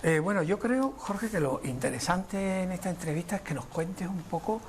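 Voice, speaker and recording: A man's voice: sits high, normal speed, slight background noise. A man hosting a radio program, leading a guest interview with friendly, short questions. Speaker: radio host.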